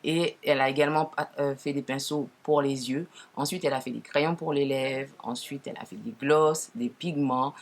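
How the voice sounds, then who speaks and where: A woman with a naturally deep voice, lowered further by a cold, chats casually to viewers as she presents makeup, often correcting herself. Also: fairly even intonation, moderate pace, few pauses. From Martinique. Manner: informal, frequent self-corrections. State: deeper, hoarse-sounding from a cold. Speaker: makeup tutorial host.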